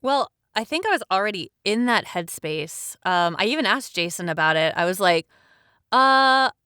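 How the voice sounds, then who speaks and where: Female panelist, very clear smooth voice, casual, dry sound. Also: mid-range voice, expressive, moderate pace, pushed and bright, no room sound. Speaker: voice actor on a cast panel. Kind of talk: casual chat.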